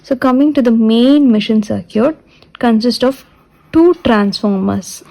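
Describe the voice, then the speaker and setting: A woman teaches a technical lesson in a somewhat high-pitched voice with a dark, relaxed tone. She goes through the material step by step and deliberately restates each point. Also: creaky. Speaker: physiotherapy lecturer. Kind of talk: step-by-step technical lecture explaining a diagram.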